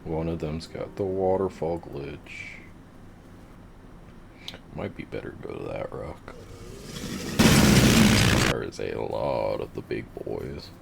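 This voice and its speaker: A man with a very deep voice giving laid-back, rambling, profane commentary over his game. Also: slow pace, vocal fry, long pauses, very noisy. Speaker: gamer narrating his play. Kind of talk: casual gameplay commentary.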